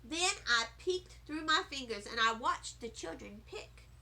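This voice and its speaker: Woman, children's story narrator, high bright voice, animated, expressive, deliberate. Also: gravelly. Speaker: storybook reader.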